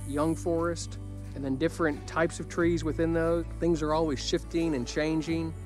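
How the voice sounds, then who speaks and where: A man's voice: fairly high pitch, a little mellow. A man, a wildlife biologist, enthusiastically explaining young pine forests and wildlife habitat while outdoors in a pine forest.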